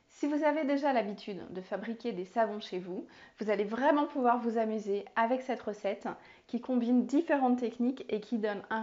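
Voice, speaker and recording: A woman in her forties explaining soap making step by step in a home kitchen, with a clear, calm teaching manner and a few fillers. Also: on the high side. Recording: slightly dull lo-fi sound.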